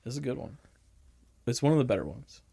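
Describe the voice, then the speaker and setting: A man's voice: medium pitch, lively intonation, fairly quick, creaky, warm tone, breathy edge, dry recording, studio quality. A young man co-hosting a casual podcast, joking around and laughing often. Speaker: podcast co-host. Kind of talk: casual chat.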